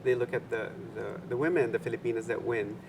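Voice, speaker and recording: A woman hosting an interview, chatty and quick to laugh, asking casual questions filled with "um" and "like". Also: extremely low pitch. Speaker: interviewer, host. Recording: background noise.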